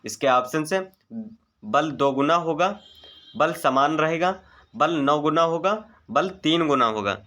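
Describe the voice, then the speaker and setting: A man's voice: fairly high pitch, a little slow, bright, forceful tone. A man teaching an exam-prep lesson, methodically reading out multiple-choice questions, their options and the right answers. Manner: methodical, formulaic delivery.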